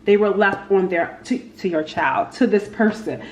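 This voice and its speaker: A woman giving a casual, unscripted tarot reading, speaking haltingly and repeating her phrases as she goes. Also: mid-range voice. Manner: halting, repeats phrases, talks to spirit and cards. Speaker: tarot reader, channel host. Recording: echoey room with faint background noise.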